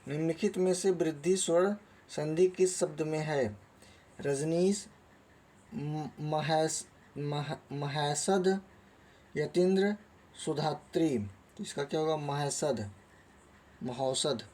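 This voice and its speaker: A man's voice: on the high side, slow pace, pauses often, a little mellow, a little hiss or hum. A man teaching a lesson, reading out quiz questions and options in a didactic way and then giving the answers. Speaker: Hindi grammar teacher.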